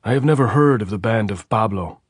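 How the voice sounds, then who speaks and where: Guy as a suspicious post commander, slow and measured, just a bit above the reader's low voice, dry. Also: expressive, breathy edge, no room sound. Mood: mocking.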